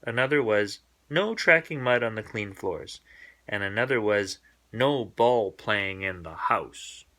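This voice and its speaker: Male storybook narrator, bright forward voice, expressive bedtime read-aloud for young children. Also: lowish voice, a little slow.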